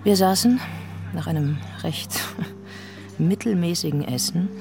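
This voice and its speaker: Low-voiced, disillusioned woman. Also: unhurried, mellow. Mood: wry, disillusioned. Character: disillusioned woman recalling a failed relationship. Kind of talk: radio drama narration. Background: music.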